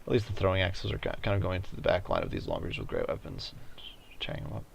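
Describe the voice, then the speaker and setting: A man giving casual commentary over a strategy game, thinking out loud in a hesitant, halting way. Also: low voice, wildly swooping pitch, creaky, a little hiss or hum. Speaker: gaming video host.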